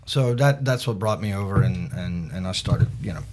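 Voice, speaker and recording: A man's voice: low voice, expressive, normal speed, darkish tone, faint music behind. A man from Belgium, a podcast guest and beer expert, chatting casually with many restarts and repeated words. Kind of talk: casual chat.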